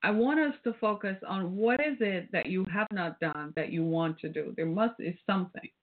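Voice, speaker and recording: A woman with a British Caribbean accent talks through her solo podcast in a mid-pitched voice. She lectures in a rhetorical, preachy way and keeps checking in with "right?". The sound is thin and phone-like. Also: normal speed. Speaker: podcast host. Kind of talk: casual solo podcast commentary. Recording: phone-like, narrow band.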